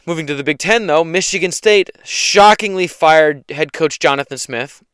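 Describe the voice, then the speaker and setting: A man hosting a casual solo sports talk show, hoarse from yelling, opinionated and rambling with frequent restarts. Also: on the high side, normal speed, no echo, studio quality. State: hoarse, losing his voice. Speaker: podcast host.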